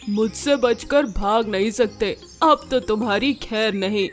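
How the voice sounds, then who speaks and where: A woman's voice: fairly high pitch, slow, faint music behind. A woman voicing a menacing, boastful, greedy male leopard in a children's animal story. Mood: menacing, gloating.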